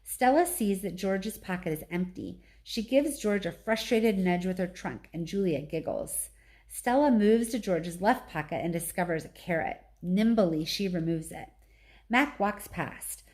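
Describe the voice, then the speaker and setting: A woman reading a children's storybook aloud as a teacher would, with animated, clearly phrased narration. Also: mid-range voice, unhurried.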